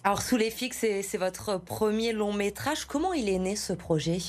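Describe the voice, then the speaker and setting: A woman's voice: mid-range voice, moderate pace. A woman hosting a broadcast interview, putting questions to her guest and summing up their work. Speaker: television interviewer, host.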